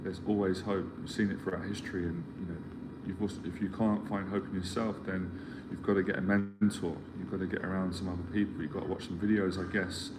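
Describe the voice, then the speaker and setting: Casual. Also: male voice, low voice, little pitch movement, fast, hardly any pauses. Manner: earnest, rambling, repeats himself while searching for words. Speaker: life mentor. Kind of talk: motivational live stream talk. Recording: clear background ambience noise.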